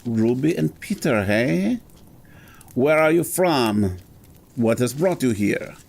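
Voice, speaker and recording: A man playing a brisk, haughty eighteenth-century French gentleman in an audio drama, formal, wry and insistent. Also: mid-range voice, animated, vocal fry, full character voice. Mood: wry, suspicious.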